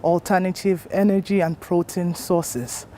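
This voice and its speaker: A woman's voice: lowish voice, steady tone, measured pace, dark tone, slight background noise. A woman, a trainee scientist speaking in a composed, articulate way in an interview. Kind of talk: interview testimonial.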